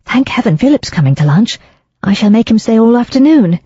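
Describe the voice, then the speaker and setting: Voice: medium pitch, big pitch swings, brisk, mellow, breathy edge, dry recording, pitched above the performer's own voice. A reader voicing a chatty, hopeful young woman, lifting the voice slightly. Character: lively young society woman, Daisy. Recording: dull lo-fi, dry.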